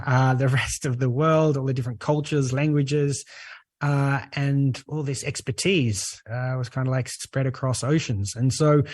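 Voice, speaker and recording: A man with an Australian accent, a guest on a talk show, speaking earnestly and relaxed, with many "uh" fillers. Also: mid-range voice, no room sound, studio quality. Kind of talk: podcast interview.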